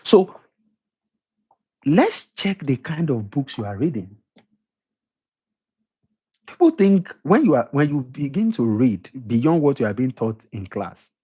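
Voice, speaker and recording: Mellow-voiced Nigerian man lecturing. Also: medium pitch, slightly rough. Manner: emphatic, repeats points, asks the audience questions. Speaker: motivational speaker. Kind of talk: lecture to an audience.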